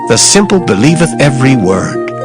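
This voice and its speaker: A man's voice: mid-range voice, sing-song, brisk. Declamatory man reading scripture over music. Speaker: scripture reader. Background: background music.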